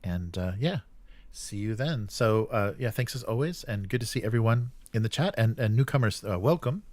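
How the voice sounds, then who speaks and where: A man hosting a livestream, chatting casually in a halting, rambling and jokey way. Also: fairly low pitch, expressive, darkish tone, no room sound. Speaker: livestream host.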